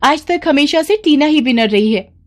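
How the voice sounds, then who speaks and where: A woman's voice: sits high, animated, normal speed, voice pushed up. A woman voicing a mocking young schoolgirl bully in a children's story, with a taunting delivery.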